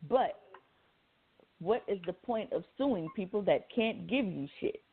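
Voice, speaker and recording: A woman's voice: medium pitch, animated, unhurried, breathy edge. A woman co-hosting a casual debate, blunt, slangy and insistent, repeating her points. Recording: thin phone-like sound.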